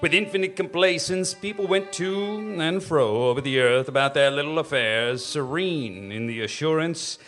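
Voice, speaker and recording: A man's voice: a little high, fairly bright tone, soft background music, natural voice. A man playing a grave, ominous storyteller, giving solemn scripted narration in a radio play. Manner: solemn, measured phrasing. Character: grave, ominous male narrator of an alien invasion tale.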